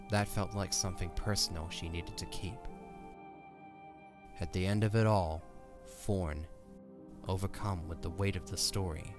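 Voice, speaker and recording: A man narrating a scripted audio drama as a dramatic storyteller. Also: low voice.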